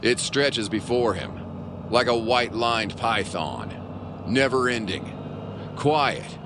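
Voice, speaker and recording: A man's voice: lowish voice, exaggerated voice. A man playing a wry, overwrought noir narrator, delivering florid comic pulp narration. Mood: grandiose. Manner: melodramatic, florid. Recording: clear car and road noise.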